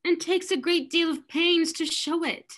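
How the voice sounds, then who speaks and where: A young woman playing an arch, quick-witted young lady of a period stage comedy, defiant about marriage. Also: very high voice, fairly even intonation, a bit bright. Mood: arch, defensive. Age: young adult. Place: indoors, on a video call.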